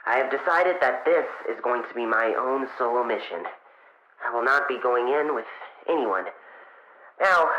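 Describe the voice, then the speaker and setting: A man voicing an eager, nervous young fox boy inventor who records a log in an audio drama, chatty and halting. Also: medium pitch, bright, forceful tone, echoey room, dull audio, a little hiss or hum. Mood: earnest, determined. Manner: halting, self-interrupting, awkwardly chatty.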